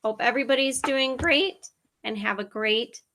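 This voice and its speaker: A woman's voice: sits high, moderate pace, sharp tone, slight background noise. A woman reading a rhyming picture book aloud to children, like a teacher at story time.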